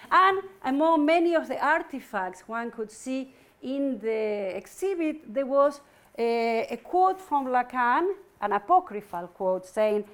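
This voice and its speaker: Halting woman lecturer from Argentina. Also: sits high. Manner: thoughtful, halting with frequent fillers. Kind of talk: academic lecture. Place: university lecture room.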